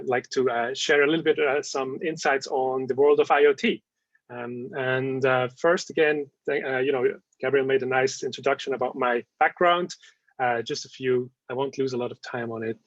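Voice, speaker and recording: A man's voice: medium pitch, a bit bright, no room sound. A man from Switzerland giving a business talk on a video call, hesitating often with "uh" and "um". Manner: hesitant, many "uh" and "um". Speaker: webinar speaker. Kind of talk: business presentation. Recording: dry, dull call audio.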